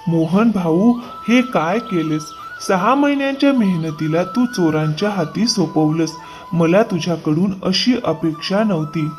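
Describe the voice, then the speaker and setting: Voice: mid-range voice, animated, unhurried, soft background music, lightly acted. An actor voicing an honest, hardworking farmer man in a moral-story cartoon. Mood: reproachful.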